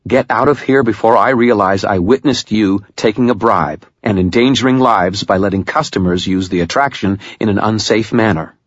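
A man's voice: fairly low pitch, moderate pace, hardly any pauses, no room sound, muffled recording, pitched above the performer's own voice, lightly acted. A man voicing a tiny, tough policewoman who speaks in a curt, commanding, snappish way.

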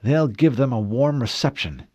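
A man's voice: medium pitch, animated, normal speed, darkish tone, no echo, clean studio sound, pitched above the performer's own voice, natural voice. A man voicing a composed, matter-of-fact female sniper in an audiobook. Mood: assured. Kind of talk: audiobook character voice.

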